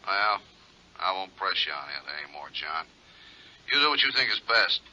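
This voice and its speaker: A man's voice: low voice, sing-song, moderate pace, sharp tone, lo-fi sound, full character voice. A man playing a mild, folksy American insurance company man in a 1950s radio detective drama. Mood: mildly put out.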